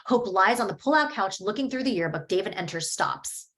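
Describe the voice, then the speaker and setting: Woman, reading stage directions, plain even narration, mid pitch. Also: fairly quick.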